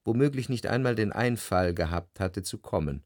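An actor playing a melancholy, jealous lover who narrates his memories in a reflective, measured literary radio play style. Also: fairly low pitch, lively intonation, darkish tone, dry recording, studio quality, lightly acted.

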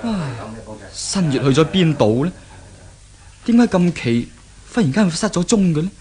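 A man's voice: a little high, sing-song, normal speed, warm tone. A man acting in a 1970s Cantonese radio drama as an earnest, worried young fiancé with a Hong Kong accent. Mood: anxious brooding. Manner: earnest, fretful.

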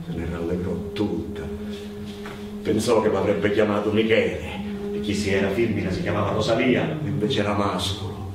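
A man's voice: on the low side, music in the background. A man performing a theatrical narrative monologue as a storyteller, dramatic and given to insistent, building repetition. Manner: dramatic, building repetitions into drawn-out, chanted cries. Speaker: stage storyteller, actor. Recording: very echoey, distant microphone, noisy ambience.

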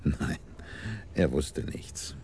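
An actor playing a cultured, self-satisfied schemer who talks with unhurried politeness in a German radio crime drama. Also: male voice, darkish tone. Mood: amused, smug. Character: sly, cultured male schemer, self-styled keeper of order. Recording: clearly noisy 1980s radio drama recording.

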